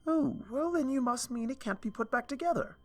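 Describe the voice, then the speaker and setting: Teasing high inner voice from a male reader, questioning, with soft music under. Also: fairly quick. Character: playful inner thought voice, male.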